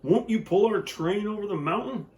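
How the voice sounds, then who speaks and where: A man voicing a chorus of toy dolls in a storybook reading, high and pleading. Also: normal speed, a little mellow, echoey room, natural voice.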